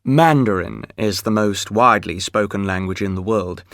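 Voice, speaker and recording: Measured male textbook narrator. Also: lowish voice, no echo, clean studio sound. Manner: steady, measured, explanatory. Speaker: textbook audio reader. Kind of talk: scripted educational narration.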